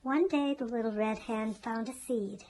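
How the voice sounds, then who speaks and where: Woman reading a children's story, higher voice. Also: normal speed, a little mellow, rough recording.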